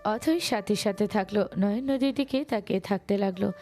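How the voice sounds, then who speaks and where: Mellow woman narrating a romantic story. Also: mid-range voice, normal speed, soft background music.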